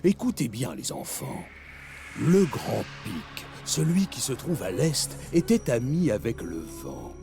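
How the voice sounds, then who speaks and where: A man playing an old grandfatherly cartoon animal who tells legends to children in a slow, dramatic, gravelly way. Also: mid-range voice, huge pitch swings, exaggerated voice. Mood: solemn, dramatic. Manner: slow dramatic storytelling with theatrical pauses. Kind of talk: animated series dub, storytelling. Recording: music behind the voice.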